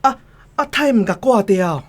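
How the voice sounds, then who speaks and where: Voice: medium pitch, lively intonation, moderate pace, natural voice. An actor voicing a startled, hesitant doctor who stammers and repeats phrases in a radio drama. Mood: startled, flustered. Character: startled doctor, snake-fearing, flustered and hesitant. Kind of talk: radio drama acting in Taiwanese.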